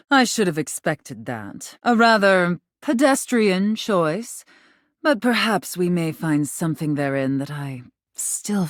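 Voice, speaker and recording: A woman's voice: on the low side, dry recording, clean studio sound. A woman voicing a haughty, teasing dominatrix in a video game, sultry and condescending in manner. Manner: haughty, sultry, condescending, drawn-out deliberate phrasing. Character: imperious, teasing dominatrix, a living safe in human guise. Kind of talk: video game character acting.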